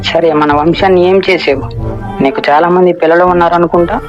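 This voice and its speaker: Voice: mid-range voice, steady tone, quick pace, muffled recording, over music, close to an everyday voice. A performer voicing a proud, suspicious, hot-headed teenage boy ninja in an anime fan dub, brusque and challenging. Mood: demanding.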